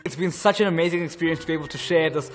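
A man's voice: on the high side, over loud music. A young man, a student actor, speaking earnestly and gratefully in a backstage interview. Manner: earnest, grateful, a little halting. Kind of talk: behind-the-scenes interview. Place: dark school stage, indoors.

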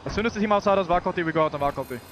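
Very fast-talking male gamer, gunfire behind. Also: high-pitched. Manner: urgent, repeats calls, casual swearing. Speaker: esports player calling strategy for the team. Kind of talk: rapid in-game team callouts over voice chat. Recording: game gunfire and vehicle noise behind. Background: shooter game gunfire and effects.

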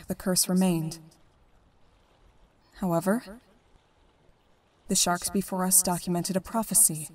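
A woman playing a stern, solemn merfolk ruler in a comic dub, speaking in a measured, speechmaking way. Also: on the low side, warm tone, recorded from a distance.

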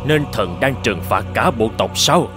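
A man's voice: lowish voice, animated, a little slow, over music. A man voicing a grave, worried tribesman in a cartoon fairy tale, speaking solemnly and emphatically.

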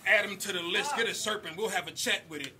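A man's voice: high-pitched, quick pace, a little hiss or hum. A man performing a rap battle with punchy, rhythmic delivery, chuckling now and then between his bars. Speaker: battle rapper. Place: indoor basement battle venue with crowd. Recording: loud live crowd recording. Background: crowd cheering and shouting.

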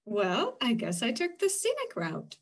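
Woman as a breezy, high-voiced raindrop girl. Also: wildly swooping pitch, measured pace, heavily acted. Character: breezy, relaxed female raindrop recounting her travels. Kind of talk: comic strip read-aloud.

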